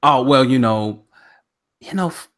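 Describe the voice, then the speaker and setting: A man in his thirties from Oklahoma hosts a laid-back podcast in a natural baritone. His pitch sits in the middle range, and he talks in loose slang with plenty of animation and frequent laughs. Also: animated, unhurried, background noise. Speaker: podcast host. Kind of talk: casual podcast chat.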